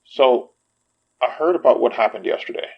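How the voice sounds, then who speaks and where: An actor playing a caring, skeptical young man who gently teases and probes his friend, in a naturalistic audio drama. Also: mid-range voice, normal speed, gravelly, dull audio. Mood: concerned.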